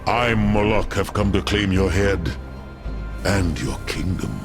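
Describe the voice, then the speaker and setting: An actor playing a boastful, conquering demon warlord in a game cutscene, grandiose and menacing. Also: male voice, sits low, slow, music in the background. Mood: gloating. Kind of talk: video game cutscene acting.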